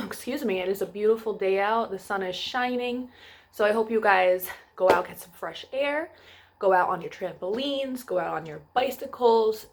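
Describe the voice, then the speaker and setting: A woman hosting a children's storytime, reading aloud and addressing young listeners in a sing-song way. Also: medium pitch.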